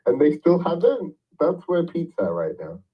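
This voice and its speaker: A man's voice: fairly high pitch, very animated, normal speed, slightly rough, distant mic, highs cut off. A man chatting casually as co-host, brash and swearing freely, laughing often. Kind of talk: casual chat.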